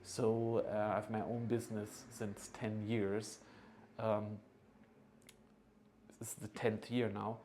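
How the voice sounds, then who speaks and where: A man in his thirties giving an informal, earnest promotional pitch as a consultant, persuasive and often checking in with the listener. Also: flat delivery, takes it slowly, long pauses, mellow, a little hiss or hum. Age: 30s. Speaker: agency founder and study consultant.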